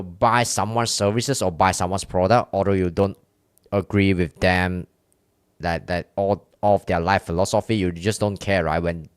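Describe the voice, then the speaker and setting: A man's voice: low-pitched, clear, resonant tone, no echo, clean studio sound. A man with a Chinese accent, a streamer chatting casually with his viewers, rambling and candid with many restarts. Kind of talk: casual live-stream chat with chat.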